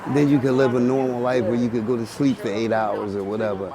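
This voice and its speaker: A man in his forties with a Philadelphia accent talks in street slang. His voice sits at an ordinary middle pitch, and he repeats phrases and restarts his sentences. Many voices from passersby are clearly heard around him on an outdoor city street. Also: steady tone, fast, a crowd in the background. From Philadelphia, USA. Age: 40s. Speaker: interviewee. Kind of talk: street interview, casual.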